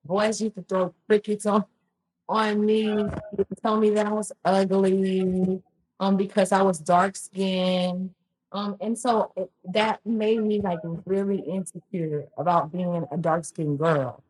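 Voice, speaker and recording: A woman in her thirties, from Louisiana, speaking with a slightly husky, breathy voice. She tells her story chattily, with lots of ums and restarts. Her pitch sits in the middle range. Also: level tone, slow pace, a little mellow, rough recording. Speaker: podcast guest. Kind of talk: casual storytelling interview.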